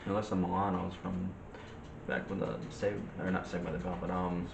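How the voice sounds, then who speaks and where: A soft-spoken man co-hosting a casual movie chat, hesitant and searching for words. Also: deep voice, fairly even intonation, a little fast, gravelly. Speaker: co-host of a movie review show. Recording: distant, noisy, echoey room.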